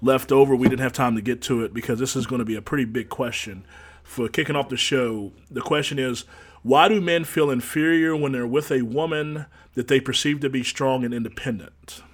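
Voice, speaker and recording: A man's voice: medium pitch, brisk. Easygoing male talk show host. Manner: easygoing, joking, drawls y'all. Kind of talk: casual dating talk show.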